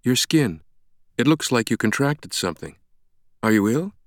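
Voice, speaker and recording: A man voicing a brisk, blunt woman doctor in an audiobook, direct, assertive and matter-of-fact. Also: mid-range voice, very animated, no echo, studio quality, voice pushed up, natural voice. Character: brisk, blunt young woman doctor who takes charge.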